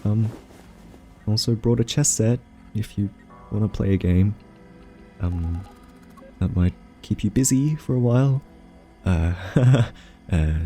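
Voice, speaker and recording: A man playing an earnest, awkward scientist in a soft-spoken ASMR audio roleplay, talking haltingly with nervous ums. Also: deep voice, close to an everyday voice. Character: earnest, awkward male scientist pleading with a captive AI.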